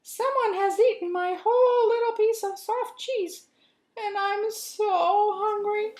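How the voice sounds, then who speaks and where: Storybook role: tiny squeaky boy mouse, very high and excitable, voiced by a woman. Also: slow pace, echoey room. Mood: upset.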